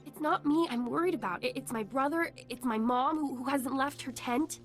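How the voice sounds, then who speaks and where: A woman voices a protective young female refugee in a video game, worn down but tough. She speaks bluntly in a fairly high voice, with music in the background. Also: normal speed, close to an everyday voice. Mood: defensive, worried. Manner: blunt, guarded, firm.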